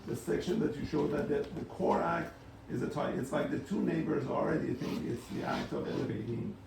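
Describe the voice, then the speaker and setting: A man thinking aloud in an unscripted academic architecture discussion, halting and often rephrasing as he moderates. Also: on the high side, darkish tone. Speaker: discussion moderator responding to speakers. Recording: roomy, distant microphone, clear background noise.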